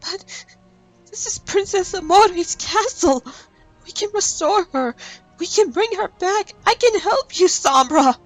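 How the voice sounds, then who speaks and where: A woman acts out a young cartoon pony with a high, girlish voice. She is caring and earnest, pleading gently over faint music. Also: lively intonation, unhurried, low-quality recording. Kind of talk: animated comic fandub acting.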